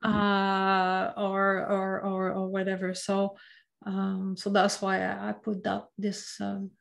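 A woman's voice: medium pitch, monotone, slow, gravelly. A woman whose first language is French, speaking English with a French accent in a casual group video call, earnest and often restarting her sentences. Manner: earnest, with frequent restarts and fillers.

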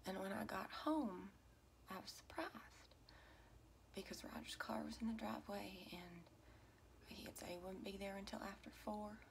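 A woman's voice: medium pitch, normal speed, slight background noise, natural voice. A woman performing a dramatic monologue as a distraught, guilt-ridden single mother. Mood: hushed, shaken. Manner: hushed and breathy, breaking into anguished outbursts.

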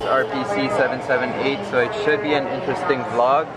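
A man's voice: medium pitch, flat delivery, fairly quick, loud music behind the voice, low-quality recording. A young man, narrating a casual travel vlog. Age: young adult. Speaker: travel vlogger. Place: busy airport terminal. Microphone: camera mic at arm's length. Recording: loud airport terminal ambience.

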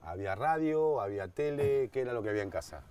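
A man's voice: medium pitch, animated, quick pace, mellow, distant mic, slight background noise. A man in his forties with an Argentine accent, hosting an outdoor cooking show in a chatty, joking way full of slang. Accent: Argentine Spanish. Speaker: host. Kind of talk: casual cooking-show chat. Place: outdoor garden bar.

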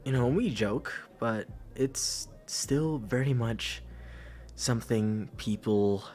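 A young man playing a caring, teasing, shy best friend in an intimate ASMR roleplay, speaking softly and hesitantly. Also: medium pitch, takes it slowly, soft background music, natural voice. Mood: gently reassuring. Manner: soft, hesitant, stammering, breathy sighs and chuckles.

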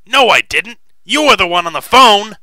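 A man's voice: sits high, very animated, moderate pace, sharp tone, no echo, heavily acted. A man playing a dry, awkward explorer's sidekick in a comedic radio drama.

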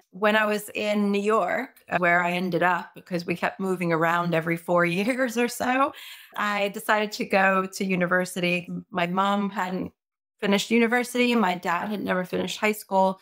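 A woman's voice: fairly low pitch, fairly bright tone. A woman who grew up between Puerto Rico and the US, a career coach telling her story casually as an interview guest.

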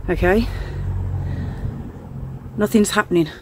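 A woman's voice: mid-range voice, slow pace. A middle-aged woman talking casually as a ghost-hunting vlogger, filming herself outdoors on a handheld camera. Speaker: paranormal investigator vlogger. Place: dark outdoor stone-walled alley at night. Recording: noisy outdoor handheld recording.

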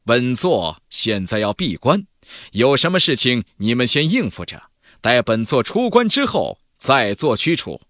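A man voicing a composed, aloof immortal cultivator who speaks in a calm, commanding and measured way. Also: mid-range voice, no room sound, studio quality. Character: Zhang Fan, composed male immortal cultivator, aloof master.

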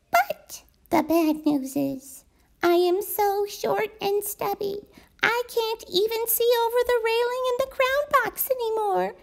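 A woman's voice: very high-pitched, gravelly, pitched above the performer's own voice, heavily acted. A woman voicing a stubby, worn-out crayon in a children's storybook, plaintive and weary. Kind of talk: children's storybook read-aloud.

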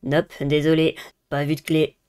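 A woman's voice: low voice, little pitch movement, a little slow, a little mellow, clear, resonant tone, pitched below the performer's own voice. A woman voicing a cool, cocky teenage schoolgirl in a visual novel, laid-back and slangy. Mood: cool, casual.

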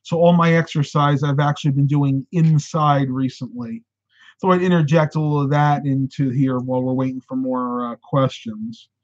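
A man in his thirties, hosting a casual live stream from a room at home, talking animatedly and getting a bit hoarse. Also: medium pitch, moderate pace. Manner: animated, gestures with hands while talking. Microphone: webcam at desk. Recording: slightly dull lo-fi webcam sound.

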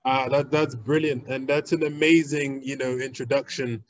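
A young man hosting a podcast interview over a video call, talking in a relaxed, conversational way. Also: medium pitch, normal speed. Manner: relaxed, conversational, with "you know" fillers. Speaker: podcast host. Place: indoor room with a plain wall. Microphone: video call webcam. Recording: dull dry webcam audio.

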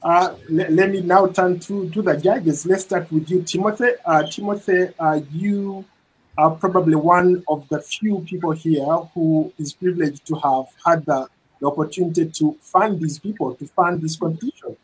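A middle-aged man hosting a news interview, speaking in a measured way with frequent fillers and restarts. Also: fairly high pitch, steady tone, husky, low-quality recording. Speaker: news reporter and interview host. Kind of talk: broadcast interview moderation. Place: indoor studio set.

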